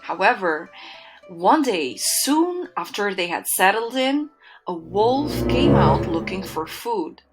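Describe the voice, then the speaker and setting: A woman narrating a children's fairytale in an expressive storytelling manner. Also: mid-range voice, takes it slowly, faint music behind, rough recording.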